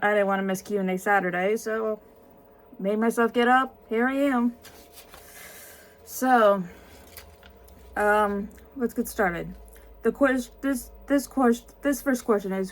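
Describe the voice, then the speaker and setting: A woman's voice: mid-range voice, a little slow, long pauses. A woman in her twenties, tired, answering viewer questions in a casual vlog with many ums and restarts. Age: 20s.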